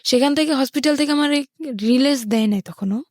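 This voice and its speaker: A woman's voice: sits high, a little fast, a little mellow, no echo, studio quality. A young woman with a Bangladeshi accent, telling her life story as an interview guest.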